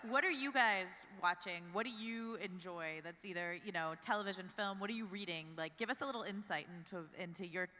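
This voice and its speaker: A woman's voice: mid-range voice, a little fast, hardly any pauses, pushed and bright. A woman moderating a convention panel and asking the guests questions. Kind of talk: asking audience-facing questions. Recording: long hall reverb, faint noise.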